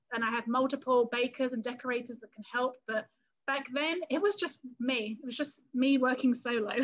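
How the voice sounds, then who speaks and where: A woman's voice: a little high, a bit bright, muffled recording. A woman in her thirties from the London area of England, with an English accent, chatting as a guest in an interview and telling stories with little quoted scenes and frequent laughs. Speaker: cake artist and business owner, guest. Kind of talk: casual interview talk.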